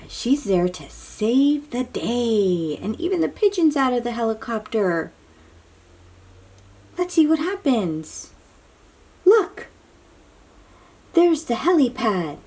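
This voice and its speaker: Woman, children's read-aloud host, breathy, very lively intonation, unhurried, drawn-out questions. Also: a little high. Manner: animated, drawn-out, questioning delivery aimed at little children. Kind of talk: picture-book read-aloud to young children.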